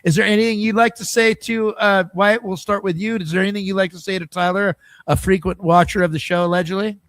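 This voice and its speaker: A man hosting a casual podcast, his voice a touch higher than most men's. He jokes around with guests and talks loosely. The sound is dry and close, with little room echo. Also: steady tone, fast talker, a bit bright. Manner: chatty and joking, leans on fillers like "I mean" and "allegedly". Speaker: podcast host.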